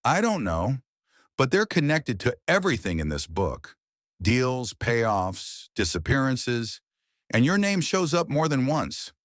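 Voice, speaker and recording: A male narrator voicing a tired, haunted man in an audiobook thriller, speaking in a hushed, grave way. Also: medium pitch, very animated, unhurried, no echo, studio quality, pitched above the performer's own voice. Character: weary, haunted man with a secret.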